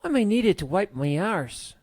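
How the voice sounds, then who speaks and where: A man's voice: high-pitched, big pitch swings, moderate pace, a little mellow, dry recording, studio quality, pitched above the performer's own voice. A man voicing a commanding, foul-mouthed woman pirate captain in an audiobook, barking in salty pirate talk. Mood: irate, commanding. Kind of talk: audiobook character voice.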